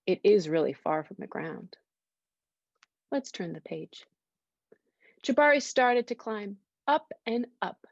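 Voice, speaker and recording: A woman reading a storybook aloud to young children, expressive and simply phrased, stopping to ask them questions. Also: a little high, no echo. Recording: dull, lo-fi sound.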